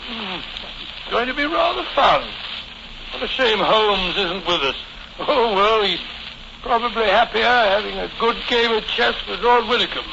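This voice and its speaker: A bumbling, kindly British gentleman in an old radio play, his voice high and swooping. He stammers and fusses as he goes, through a dull, noisy vintage recording. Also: lively intonation, a little slow, vocal fry, full character voice. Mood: cheerful, bustling. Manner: flustered, stammering, fussy. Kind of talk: 1940s radio acting.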